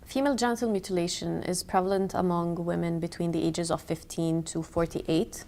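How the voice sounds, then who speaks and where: A young woman from Palestine speaks in a documentary interview, earnest and measured, pausing to pick her words. Her voice sits a little low for a woman. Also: fairly even intonation, darkish tone. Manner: earnest, measured, with thoughtful pauses. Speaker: makeup artist and activist.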